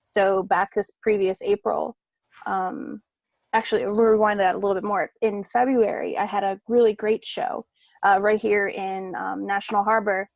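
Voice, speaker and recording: A woman's voice: mid-range voice, little pitch movement, normal speed, creaky, dry recording. A woman guest on a podcast, an artist, chatting informally and with some self-deprecation about herself. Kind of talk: casual interview chat.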